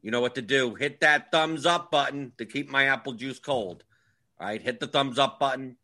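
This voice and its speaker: A man's voice: mid-range voice, normal speed, bright, forceful tone. A man in his forties hosting a casual livestream chat, wry and grumbling, often repeating himself. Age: 40s. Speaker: livestream host.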